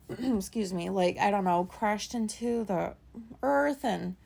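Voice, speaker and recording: Raspy woman rambling casually. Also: medium pitch, takes it slowly, a little mellow. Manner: rambling, many "like" fillers, casual swearing. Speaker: podcast host. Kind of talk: casual solo podcast monologue.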